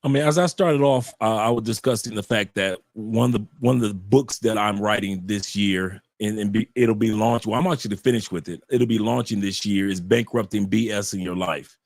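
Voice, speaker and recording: A man's voice: lowish voice, brisk, creaky, few pauses, dry recording. A man, a guest on an online show, talking casually and animatedly, repeating phrases and restarting sentences as he tells stories. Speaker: guest, YouTube channel creator and author. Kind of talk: casual conversational interview. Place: home in Colombia.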